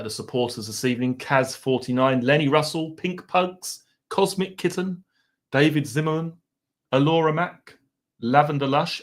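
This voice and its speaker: A man with an Essex accent hosts a live stream in a loose, rambling way. His pitch sits in the middle of the usual range. He drifts between banter with viewers and reading out their messages, with the odd mild swear word.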